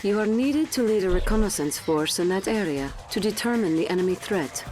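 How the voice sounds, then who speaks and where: A woman's voice: medium pitch, animated, fairly quick, a little mellow, faint music behind, close to an everyday voice. A woman playing a formal military intelligence officer giving mission briefings. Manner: crisp, formal, matter-of-fact.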